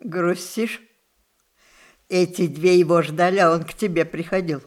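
An actress playing a proud, tender old grandmother in an audio play, with theatrical, unhurried, knowing delivery. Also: lowish voice, fairly even intonation, a little mellow, lightly acted. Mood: tender, probing. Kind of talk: Russian stage-style audio play acting.

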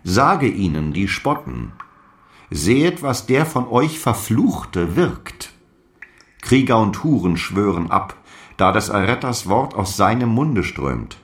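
An actor playing a zealous apostle in a radio drama, declaiming solemn, archaic prophecies and defiant last letters. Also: male voice, lowish voice, very animated, slow. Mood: solemn, fervent. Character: fiery apostle dictating zealous letters, defiant before his death.

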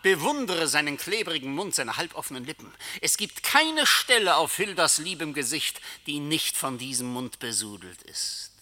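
A mocking tempter from a radio play, with a very sharp, forceful voice. He speaks in rhetorical, insinuating barbs that ring out in a long reverberant space. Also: on the high side, very animated, normal speed, few pauses, full character voice. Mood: scornful. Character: mocking sinister male tempter who taunts and exposes people. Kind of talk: theatrical German radio play acting.

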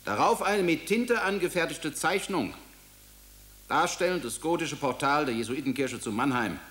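A man's voice: a little high, expressive, normal speed, fairly bright tone. An actor in a radio drama playing a sober man who describes evidence in a matter-of-fact way.

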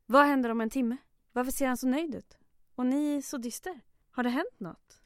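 A woman playing a polite, eager, quick-witted young secretary in an old-time radio drama. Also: high-pitched, lightly acted. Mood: concerned, apologetic. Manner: eager, polite, cheerful with sly wit.

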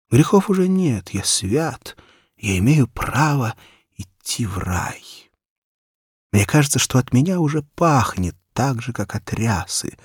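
Slow, husky, low-voiced male storyteller. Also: no room sound, studio quality. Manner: expressive, measured, acted delivery. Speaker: audiobook narrator. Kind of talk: dramatic literary narration of a children's story in first person.

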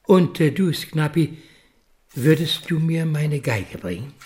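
Radio play grandfather, elderly man: kindly, unhurried, widely varied intonation, noisy clicky recording. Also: on the high side, animated, a little mellow. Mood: mournful. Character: kindly grieving old grandfather with failing eyesight. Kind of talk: German radio play acting.

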